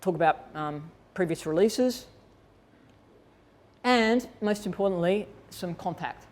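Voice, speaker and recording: A woman's voice: fairly low pitch, lively intonation, takes it slowly. A woman presenting a workshop in an informal, conversational way, explaining and thinking aloud as she demonstrates. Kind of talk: informal instructional talk to attendees.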